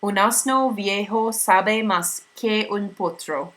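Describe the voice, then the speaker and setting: A woman voicing a tiny, odd old female being who recites proverbs in a slow, measured way. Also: medium pitch, fairly bright tone, pitched above the performer's own voice. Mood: sententious.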